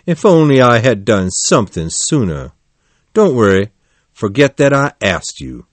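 A man's voice: mid-range voice, very animated, measured pace, no room sound. A man voicing a melodramatic, self-pitying male toad in an animal storybook, in a weak, plaintive, martyr-like way. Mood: plaintive, feigning illness. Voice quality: weak, faint.

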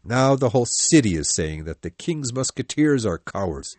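A man playing a stern, proud, hot-tempered older captain of musketeers in an audiobook, with a forceful, commanding manner. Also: mid-range voice, sing-song, normal speed, no echo. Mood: indignant. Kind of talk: audiobook dialogue acting.

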